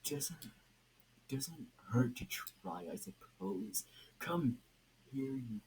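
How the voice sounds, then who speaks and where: A man voicing a gruff, foul-mouthed crime lord who is easily exasperated and threatening, in an amateur reading with halting delivery. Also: mid-range voice, animated, darkish tone. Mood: menacing. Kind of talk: amateur fan fiction reading. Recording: noisy amateur home recording.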